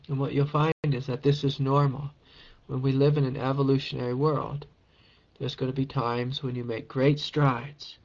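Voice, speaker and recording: A man with a raspy voice, a meditation teacher answering a question in a calm, encouraging spiritual talk. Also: medium pitch, dull audio. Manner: gentle, calm and encouraging, with soft laughs.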